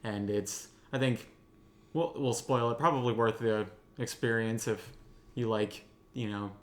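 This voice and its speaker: A man's voice: medium pitch, fairly even intonation, measured pace, fairly bright tone. A man hosting a casual roundtable discussion, with hesitant phrasing and wry, self-amused asides. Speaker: host of a roundtable podcast.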